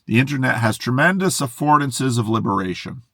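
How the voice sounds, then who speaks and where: A man's voice: mid-range voice, brisk, no echo, clean studio sound. A middle-aged man, a pastor, talking loosely to a camera from inside a parked car, musing and often breaking off his own sentences. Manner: musing, self-interrupting, excitable. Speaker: pastor and video host. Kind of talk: unscripted monologue to camera.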